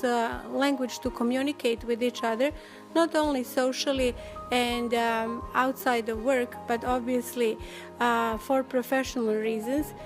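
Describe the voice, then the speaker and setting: A woman whose first language is Serbian speaks in a formal, measured way, pausing often on "uh" as she builds her sentences. Her pitch sits a little high but barely moves, and music plays clearly underneath her. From Yugoslavia. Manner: measured, formal, frequent "uh" pauses. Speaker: interviewee. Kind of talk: interview answers.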